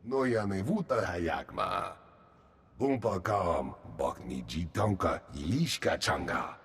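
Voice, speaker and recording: An alien creature for a game, speaking an invented tongue. The voice is extremely rough and husky, pitched very low. A long echo trails it. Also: male voice, lively intonation, takes it slowly, a bit bright, exaggerated voice. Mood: solemn. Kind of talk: video game voice acting.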